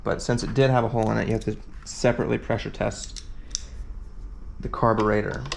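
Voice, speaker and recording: A man's voice: lowish voice, little pitch movement, fairly quick, creaky, slight background noise. A man giving a casual hands-on repair tutorial, rambling with fillers and thinking aloud as he works. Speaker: competitor explaining a repair event.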